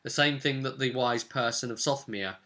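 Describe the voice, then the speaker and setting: A man casually recounting a role-playing session as game master, telling it in an animated storyteller's way. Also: medium pitch, moderate pace.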